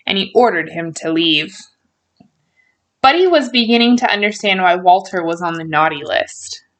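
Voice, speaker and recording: Lady doing storytime read-aloud, expressive, mid pitched, draws out the key words. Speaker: teacher reading a storybook aloud.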